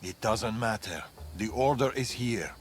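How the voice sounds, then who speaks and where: An actor playing a grave, wary older warrior father in a video game, speaking in a measured, solemn, ominous way over dramatic music. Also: fairly low pitch, a little hiss or hum, natural voice. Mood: grave warning.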